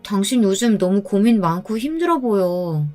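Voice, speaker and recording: A woman's voice: medium pitch, lively intonation, normal speed, a little mellow, soft background music, lightly acted. A woman voicing an earnest young newlywed wife in a dramatized audio story. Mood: worried, frustrated. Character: worried, frustrated young newlywed wife, polite to strangers.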